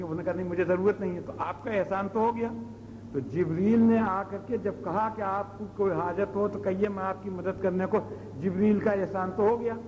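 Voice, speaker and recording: Male Islamic preacher, high voice, explains step by step with rhetorical questions, noisy old cassette sound. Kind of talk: sermon, explaining religious stories. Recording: old noisy cassette, long hall reverb.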